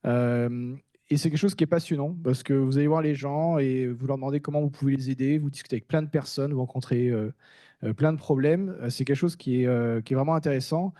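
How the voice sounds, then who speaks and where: Soft-toned man, candid and halting. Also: mid-range voice, no echo, clean studio sound. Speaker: staff engineer speaking on a panel. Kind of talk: informal first-person conference talk.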